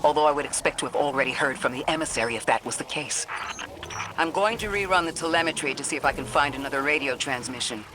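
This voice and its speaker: An actor playing a calm, analytical robot companion in a video game, speaking in a measured, formal and precise way. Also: male voice, on the high side, background noise, lightly acted. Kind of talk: scripted video game dialogue.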